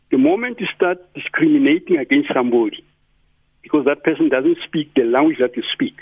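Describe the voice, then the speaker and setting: Earnest male radio pundit, husky, dry, phone-like sound. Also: medium pitch, no echo. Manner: earnest, preaching tone. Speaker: studio guest commentator. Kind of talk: radio talk commentary. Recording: tinny phone-like line.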